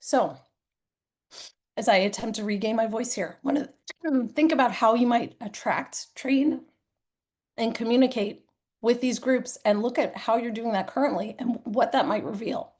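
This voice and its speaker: A woman delivering a conference presentation while hoarse from a cold. She clears her throat often and keeps an earnest, conversational tone with many fillers. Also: a little high, normal speed, darkish tone. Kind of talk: live-streamed conference talk.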